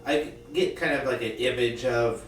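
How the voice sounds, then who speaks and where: A man's voice: mid-range voice, normal speed. A man on a podcast chatting casually in a tentative, loosely phrased way. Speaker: podcast participant. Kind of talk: casual chat. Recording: echoey, distant mic, faint noise.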